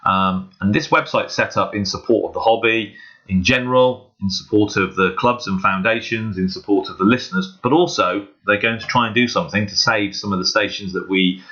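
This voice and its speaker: A man's voice: lowish voice, steady tone, brisk, pushed and bright. A man talking casually and earnestly in an unscripted, hesitant way, as host of a hobbyist walkthrough video. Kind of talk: casual screen walkthrough vlog. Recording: dull, muffled lo-fi microphone.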